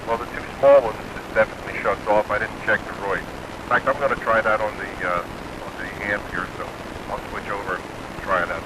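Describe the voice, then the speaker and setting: A man's voice: fairly low pitch, steady tone, fairly quick. A man chatting casually as an operator over a CB radio. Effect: CB radio transmission. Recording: noisy, faint CB radio signal.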